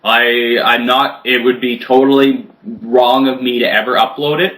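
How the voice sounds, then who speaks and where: A man's voice: mid-range voice, fairly even intonation, measured pace, a bit bright, rough recording. A man of twenty chatting as a podcast guest, crude and joking, often laughing as he talks. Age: 20s. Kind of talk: casual chat.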